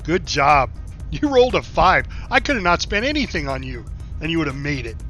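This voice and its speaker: Chatty male gamer over loud music. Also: a little high, sing-song, fairly quick. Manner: chatty, teasing asides to characters and viewers. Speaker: gamer playing and commenting. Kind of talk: casual let's-play commentary. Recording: music behind the voice. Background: game music.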